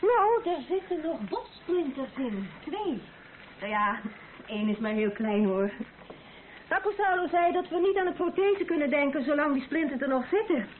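A young wife and nurse in a radio drama, with a high voice. She fusses and teases fondly, in natural domestic dialogue. The old recording is thin as a phone line and hissy with noise. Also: big pitch swings, moderate pace. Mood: hesitant, serious. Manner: gently teasing, fussing, affectionate. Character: caring pregnant young wife who works as a nurse. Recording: tinny, noisy old radio play recording.

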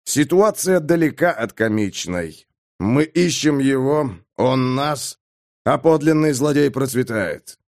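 A man's voice: mid-range voice, animated, slow pace, a little mellow. Audiobook guard captain, male narrator in role: authoritative, stern, clipped and dry delivery. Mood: displeased, dry. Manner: dry, curt, commanding.

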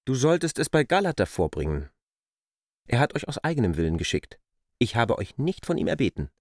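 An actor playing a wary land surveyor who asks curt, probing questions in a radio play. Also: male voice, medium pitch, very animated, brisk, no echo, studio quality.

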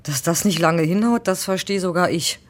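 An actress playing a sympathetic colleague who narrates in a musing, poetic way in a radio drama. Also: female voice, lowish voice, moderate pace, close to an everyday voice. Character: wistful, sympathetic female colleague and witness narrator. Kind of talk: German radio drama monologue and dialogue.

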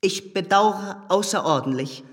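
An actor playing a pompous, ceremonious gentleman with a formal, polite manner in a German radio drama, in a reverberant sound. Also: high-pitched, measured pace. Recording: long hall reverb.

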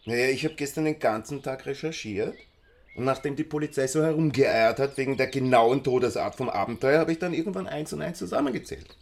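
An actor playing a chatty village schoolteacher in a radio drama, with an Austrian accent and an eager, obliging manner. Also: male voice, mid-range voice, fairly quick, close to an everyday voice. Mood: intrigued. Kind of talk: naturalistic radio drama acting.

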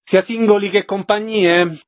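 A man's voice: high voice, fairly even intonation, measured pace, no room sound. A man hosting a radio show, putting questions to guests and announcing event details. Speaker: radio host, interviewer. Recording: slightly dull radio sound.

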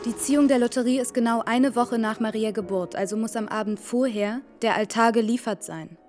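Actress doing a bossy young woman running the house, highish voice, matter-of-fact, radio play, faint music. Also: fairly quick, lightly acted. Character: brisk, businesslike young woman running her family's household.

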